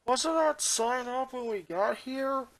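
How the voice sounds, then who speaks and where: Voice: high voice, slow pace, no room sound, pitched above the performer's own voice, poor audio. A narrator voicing a frustrated, sulky preteen boy in a story reading, exasperated and indignant. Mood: whiny. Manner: exasperated ranting and complaining.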